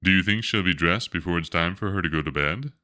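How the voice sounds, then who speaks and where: A man's voice: sits low, fast talker, sharp tone. A man voicing a fretful, exasperated storybook father who is easily dismayed. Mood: worried. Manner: astonished questioning, dramatic dismay.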